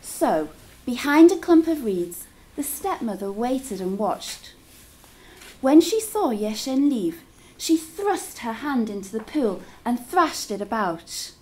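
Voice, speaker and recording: A woman's voice: fairly high pitch, very animated, slow pace, mellow, poor audio. A woman teacher reading a story aloud to children in a dramatic, engaging way. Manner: dramatic, engaging listeners with questions.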